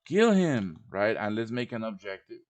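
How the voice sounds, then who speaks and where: A man's voice: fairly low pitch, very animated, moderate pace, darkish tone. A man with a chatty manner hosting a casual live tutorial, often checking in with his listeners as he teaches. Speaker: host teaching game quest design. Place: live stream over online voice chat.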